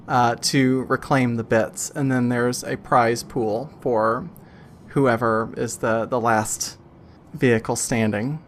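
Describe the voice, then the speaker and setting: A man voicing a seasoned female computer-program driver in a tabletop game, measured and matter-of-fact. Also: medium pitch, fairly bright tone, slight background noise.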